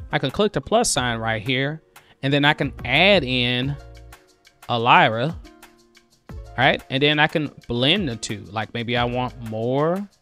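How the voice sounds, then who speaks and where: A man in his thirties talks through a music app with eager, chatty energy. He speaks into a headset mic in a home studio. Soft music plays faintly behind him. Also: a little high. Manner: enthusiastic, conversational, excitable. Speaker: music producer, tutorial host. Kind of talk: screen-share software tutorial. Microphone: headset with boom mic.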